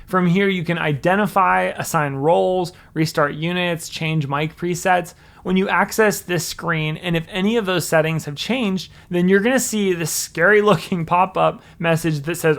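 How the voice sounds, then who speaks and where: A man's voice: fairly high pitch, fairly bright tone. A man presenting a scripted tech tutorial in an instructional, plain-spoken way.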